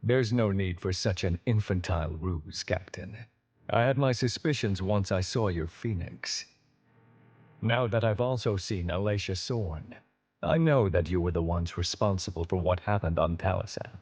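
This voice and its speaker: An actor playing a stern, honorable veteran alien admiral in a video game, speaking in a formal, measured, authoritative way. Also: male voice, low-pitched, darkish tone, husky. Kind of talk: video game cutscene acting.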